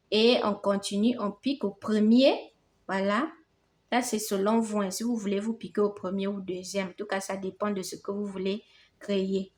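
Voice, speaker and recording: A woman from Gabon with a Gabonese French accent, a native Fang speaker, hosting a casual crochet tutorial in a reassuring, affectionate way. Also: fairly high pitch. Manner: affectionate, reassuring, addresses viewers as "les amours".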